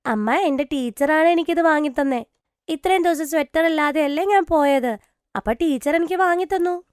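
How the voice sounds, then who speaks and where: A woman's voice: high-pitched, moderate pace, dry recording, studio quality, close to an everyday voice. A woman playing an earnest, hopeful young schoolgirl with a childlike, pleading manner in a cartoon story. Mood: plaintive, earnest. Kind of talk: dramatised cartoon story dialogue.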